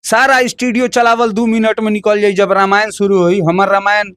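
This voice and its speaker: A man's voice: sits high, flat delivery, brisk, fairly bright tone, no room sound. A man with a Bhojpuri accent giving heated opinions in an interview, emphatic and combative, repeating phrases and firing rhetorical questions.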